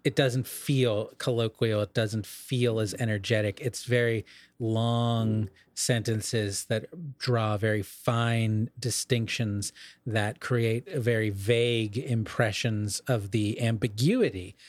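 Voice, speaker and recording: A man's voice: lowish voice, fairly even intonation, takes it slowly, no room sound. A man co-hosting a podcast, talking casually about literature in a discursive, scholarly way and often correcting himself as he goes. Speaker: podcast co-host.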